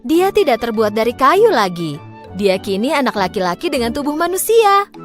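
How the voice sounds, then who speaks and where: A woman tells a children's fairy tale as a storyteller. Her voice is fairly high and very animated, with clearly phrased, expressive reading over light background music. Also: normal speed.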